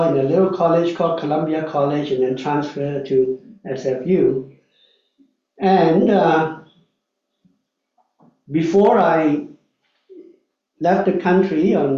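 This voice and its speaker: Man in his 60s, lay preacher, high mellow voice, chatty anecdotal sermon, echoey hall off a distant mic. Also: lo-fi sound. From Malaysia. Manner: chatty, anecdotal, frequent "uh" and "right?" asides. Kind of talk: storytelling sermon. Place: church hall.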